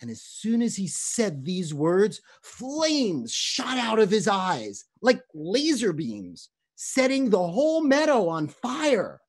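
A middle-aged rabbi telling a story dramatically on a video call from a room at home. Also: male voice, high voice, huge pitch swings, slow, few pauses. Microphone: webcam on video call.

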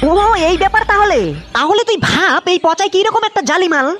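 An old village bachelor from a comedy cartoon with an extremely high, squeaky voice. He speaks quickly and colloquially, a grumbling elder. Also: lively intonation, a bit bright. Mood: grumbling, envious.

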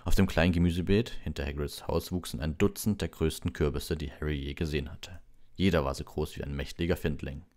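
A man narrating a storybook as a relaxed bedtime audiobook reader. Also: very deep voice, fairly quick.

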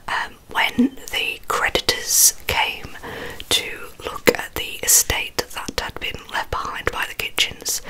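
A woman whispers an ASMR story right up at the microphone. Her whisper is very bright and crisp at the edges, with a breathy, unvoiced sound. It is the delivery of a storyteller working from a script. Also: low-quality recording. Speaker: ASMR host. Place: small indoor bedroom. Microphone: large microphone close to the mouth.

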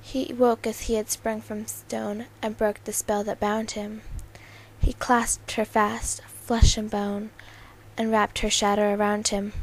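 A young woman amateur auditioning for voice-over, speaking in a halting way with occasional stumbles over words. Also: fairly high pitch. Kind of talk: casual intro and slow poem recitation. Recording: noisy amateur home recording.

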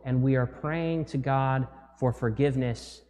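Casting: thirties male priest, chatty unscripted homily, mid-pitched voice, long church echo. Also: fairly even intonation, unhurried, darkish tone, soft background music. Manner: conversational, gesturing, with filler words and restarts. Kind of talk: sermon. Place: large church, indoors.

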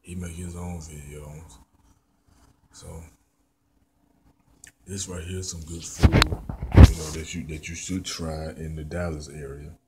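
A man's voice: very deep voice, little pitch movement, slow pace, long pauses, very noisy. A man in his thirties with a Memphis Southern accent, rambling and repeating himself as he reviews food while eating, filmed on a handheld phone indoors. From born in Memphis, raised in Mississippi. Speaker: vlogger. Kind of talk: casual food review vlog. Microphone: handheld phone camera at arm's length.

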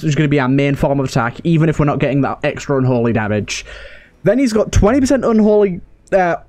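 A man's voice: on the high side, a little mellow. A man giving casual let's-play commentary as a host, thinking aloud and repeating himself. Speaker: gaming video host.

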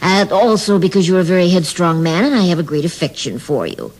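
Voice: medium pitch, animated, fairly quick, low-quality recording. Someone playing Diabolus, an oily mocking devil. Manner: oily, wheedling, theatrical with fussy asides. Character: scheming male devil tyrant, smooth and mocking.

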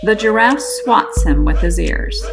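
A woman reading a children's picture book aloud as an expressive, deliberate narrator, putting dramatic emphasis on key words. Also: mid-range voice. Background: music behind the voice.

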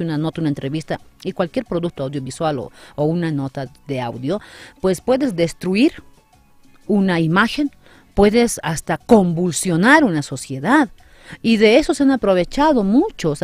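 A woman's voice: on the low side, a little mellow, dry recording. Earnest woman in a dry studio. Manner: earnest, explanatory, emphatic. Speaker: guest, city councillor. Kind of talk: radio studio interview. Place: small radio studio. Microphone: studio mic on boom arm.

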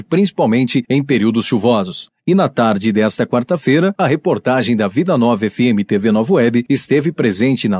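A man's voice: mid-range voice, darkish tone, slightly rough. A young man with a Brazilian Portuguese accent reporting outdoors on a dirt road with a handheld mic. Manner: brisk reporter's delivery with filler restarts. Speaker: local radio and web TV reporter. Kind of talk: field news report. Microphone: handheld microphone, masked.

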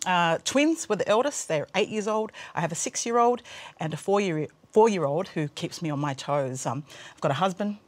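A woman's voice: lowish voice, animated, normal speed. A woman in her thirties from Australia, co-hosting a church broadcast in a studio, chatty with fillers and laughs. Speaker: co-host. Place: studio with blue screens.

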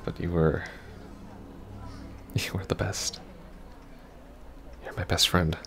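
Guy playing a sad earnest ex-boyfriend, asmr roleplay, super low gravelly voice, halting and breathy, some hiss behind. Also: flat delivery, a bit bright, full character voice. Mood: wistful, hurt. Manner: halting, hesitant, breathy half-whispers. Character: heartbroken, earnest young ex-boyfriend pleading for closure. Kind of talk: intimate ASMR roleplay acting.

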